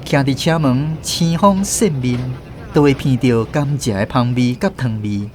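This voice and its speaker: A man with a Taiwanese accent narrating a radio novel in an expressive storytelling manner. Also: medium pitch, a little slow, slight background noise. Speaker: narrator and host.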